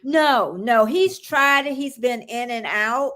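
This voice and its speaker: A woman from the United States hosting a casual live-stream chat, chatty and quick to laugh. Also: fairly high pitch, fairly bright tone. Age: older. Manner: laughs often, chatty and encouraging. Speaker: host.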